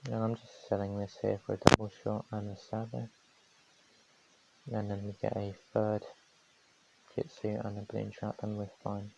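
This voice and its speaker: A man calmly commentating his own strategy game on a live stream, his voice going hoarse, from New Zealand. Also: fairly low pitch, moderate pace, long pauses, lo-fi sound, noisy background. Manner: calm, matter-of-fact strategy talk.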